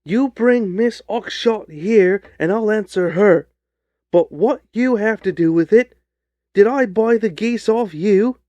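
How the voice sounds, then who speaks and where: Blustering Victorian poultry seller, cocksure and combative, raised forceful delivery performed by a male narrator; storybook character. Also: high voice, takes it slowly, no room sound, muffled recording, voice pushed up. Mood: furious. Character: surly, cocksure Victorian poultry salesman, quick to anger. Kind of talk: audiobook character voice.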